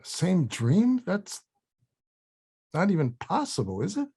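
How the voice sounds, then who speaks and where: Casting: sheepish, defensive male in a comedy read, acted by a man, lively pitch, rough-edged voice. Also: takes it slowly, exaggerated voice. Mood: puzzled. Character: anxious, awkward adult male actor, defensive and sheepish. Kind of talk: comedy script table read.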